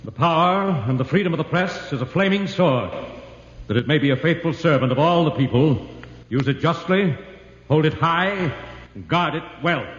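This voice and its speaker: Resolute, idealistic editor played by a man, fairly high voice, declaiming in a long echo on old radio. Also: moderate pace, natural voice. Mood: solemn. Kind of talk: 1940s radio drama declamation. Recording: echoing, noisy, muffled 1940s radio recording.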